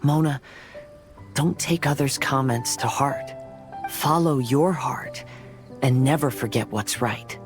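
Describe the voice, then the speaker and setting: A man voicing a composed, thoughtful young nobleman in a video game, calm and poetic in manner. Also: medium pitch, slow, pauses often, faint music behind, lightly acted. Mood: wistful.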